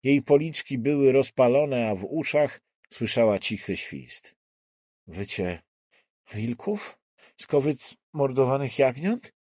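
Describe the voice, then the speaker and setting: Slow, expressive low-voiced male thriller narrator. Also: dry recording, studio quality. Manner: deliberate, suspenseful delivery with dramatic pauses. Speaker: audiobook narrator. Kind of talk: dramatic scripted narration of a crime thriller.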